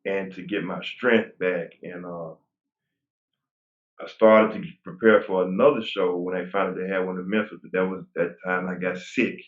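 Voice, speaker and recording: A man's voice: low-pitched, normal speed. A man in his forties, a guest bodybuilder, talking casually and rambling with many restarts. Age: 40s. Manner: relaxed, rambling with frequent restarts. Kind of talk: casual interview talk. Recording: tinny phone-like line, roomy echo.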